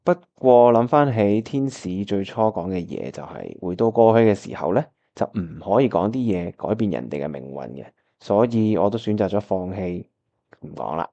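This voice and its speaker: A man's voice: on the low side, expressive, moderate pace, dark tone, dull audio. A man with a Hong Kong Cantonese accent acting a disheartened, wistful time traveller who narrates his own radio drama. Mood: reflective narration. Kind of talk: first-person radio drama narration and dialogue. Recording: music playing behind the voice.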